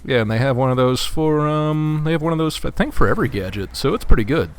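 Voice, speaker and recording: A man's voice: on the high side, animated, quick pace. A man chatting casually as a co-commentator on a video game. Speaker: guest co-commentator. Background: faint game music.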